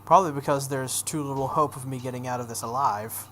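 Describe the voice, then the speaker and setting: A woman's voice: very deep voice, fast talker. A woman hosting a video game playthrough, reading a visual novel aloud expressively with offhand casual asides. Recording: some background noise.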